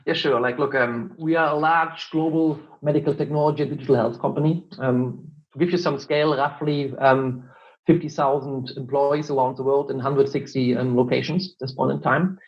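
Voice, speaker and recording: A man's voice: medium pitch, steady tone, normal speed, dull audio. A man from Germany with a German accent, answering interview questions as a marketing director, explaining patiently with frequent fillers. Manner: explanatory, many fillers and "look".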